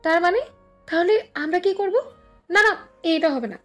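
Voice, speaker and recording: A woman playing a pouty, lively younger sister in a romantic audio story. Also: extremely high pitch, normal speed, faint music behind. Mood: indignant.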